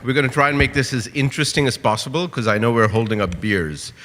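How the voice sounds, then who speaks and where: A man moderating a conference panel, brisk and jokey as he hands over to guests. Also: mid-range voice, fairly bright tone, soft background music. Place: conference hall. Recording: reverberant hall with faint noise.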